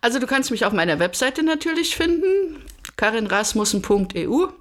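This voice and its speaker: A woman's voice: on the high side, sing-song, fairly quick. Woman from Berlin explaining things in an interview. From Germany, Berlin. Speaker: podcast guest, coach. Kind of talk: conversational interview answers.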